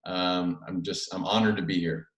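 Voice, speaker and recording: A man with a slightly low voice speaks casually as a guest on an online call. His delivery is loose and conversational, like informal storytelling. He sounds a bit far from the microphone in a clearly echoey room. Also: fairly even intonation, normal speed, fairly bright tone. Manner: casual, conversational, loosely phrased with many hedging asides. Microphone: video call, away from the mouth.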